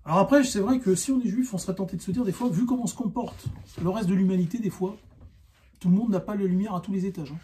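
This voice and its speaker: Guy ranting off the cuff, high voice but soft dark tone, keeps repeating his point. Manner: insistent, argumentative, repeats himself, halting with rhetorical questions. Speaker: online video commentator. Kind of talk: unscripted opinion monologue to camera.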